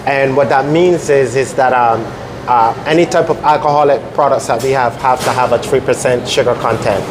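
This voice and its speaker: A middle-aged man from Barbados, a restaurant chef explaining things step by step in an interview, with measured, pausing delivery. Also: medium pitch, noisy background.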